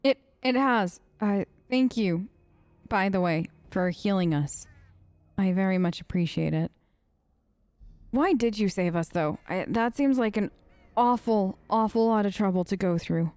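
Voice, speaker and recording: A woman gamer role-playing her character's dialogue off the cuff in a hesitant, halting, conversational way. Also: mid-range voice, darkish tone, no room sound. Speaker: gaming let's player. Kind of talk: improvised in-character role-play of game dialogue.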